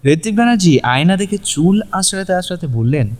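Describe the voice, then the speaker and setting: Higher-voiced male story narrator. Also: animated, fast talker, a little mellow. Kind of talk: dramatic scripted story narration.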